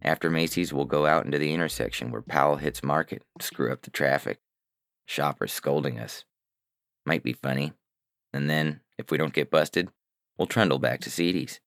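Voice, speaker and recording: A man playing a weary, paranoid hacker who narrates a science fiction audiobook in a terse, deadpan, sardonic manner. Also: rumbling low, normal speed, vocal fry, dry recording, studio quality, full character voice.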